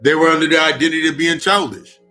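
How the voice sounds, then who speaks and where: A man's voice: on the high side, fairly even intonation, quick pace, pushed and bright, soft background music. A man in his thirties giving a casual spiritual talk, swearing often and repeating lines for emphasis. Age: 30s. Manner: profane, repetitive, emphatic. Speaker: spiritual speaker.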